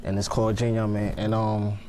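A young man from Ghana talks easily in a TV studio, his voice low and flat. He drifts along with loose fillers. A faint hum sits under him. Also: moderate pace. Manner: laid-back, lots of fillers like "you know what I mean". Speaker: guest, fashion designer. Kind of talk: casual interview answers.

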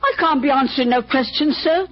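Actress as high, plaintive woman. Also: a little fast, lightly acted. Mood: plaintive, fearful. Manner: plaintive, defensive. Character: timid, plaintive, poor working-class female patient. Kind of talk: old-time radio drama acting. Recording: dull old radio recording.

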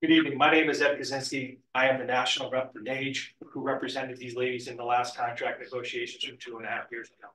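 A man, a union representative, hoarse from a cold, giving a formal explanation at a school board meeting from a podium. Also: medium pitch, little pitch movement, fast talker, few pauses, bright, forceful tone, recorded from a distance. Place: meeting room, standing at a wooden podium. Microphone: podium mic, heard from a far camera. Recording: echoey room, voice heard from afar.

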